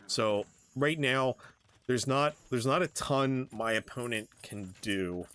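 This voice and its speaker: A man giving casual, halting commentary on his own strategy game match, thinking aloud as he plays. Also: mid-range voice, fairly bright tone. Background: background music.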